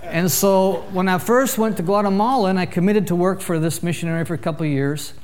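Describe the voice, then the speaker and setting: Male guest preacher, somewhat high voice, humorous storyteller working the congregation. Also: brisk. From Canada. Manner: jokey, lively storyteller addressing a congregation. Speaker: visiting preacher.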